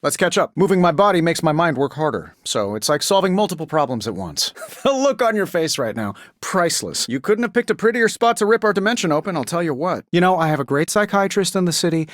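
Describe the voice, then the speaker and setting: An actor playing a cocky, middle-aged genius inventor superhero in a video game, glib and sardonic with constant quips. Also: male voice, fairly high pitch, very animated, fast talker, hardly any pauses, dry recording, studio quality. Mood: sardonic, playful.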